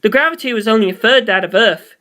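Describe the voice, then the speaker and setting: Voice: medium pitch, quick pace, bright, forceful tone. A narrator reading a scripted story aloud. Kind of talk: scripted narration of fan fiction.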